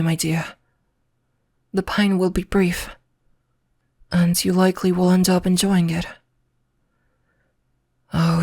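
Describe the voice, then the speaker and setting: Low, soft British vampire seductress, acted by a woman. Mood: gentle, tentative, then hungry, intimate. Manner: formal, archaic, courteous phrasing. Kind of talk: ASMR roleplay.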